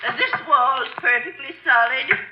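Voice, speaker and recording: An actress playing a shrewd, skeptical spinster lady sleuth, brisk, dry and decisive, in old-time radio mystery style. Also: high voice, dull audio, a little hiss or hum. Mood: skeptical, puzzled. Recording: old radio drama, band-limited, music behind.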